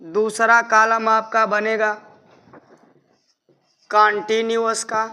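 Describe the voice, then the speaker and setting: A man's voice: high-pitched, monotone, slow pace, sharp tone, clean tone. A man teaching a grammar lesson, explaining step by step and repeating his points methodically. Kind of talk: explanatory classroom-style lesson.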